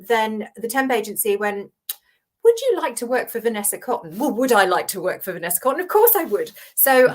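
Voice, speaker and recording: Woman in her 50s, grew up in hong kong, chatty guest on a video call, keeps acting out old conversations. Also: a little high. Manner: animated storyteller, acts out past dialogue. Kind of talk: conversational interview.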